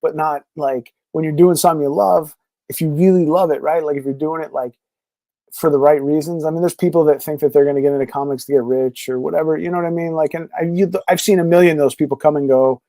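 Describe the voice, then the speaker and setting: A man's voice: a little high, steady tone, quick pace, mellow, no echo. A man being interviewed as a podcast guest, talking casually and rambling with lots of fillers. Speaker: podcast guest, comic creator.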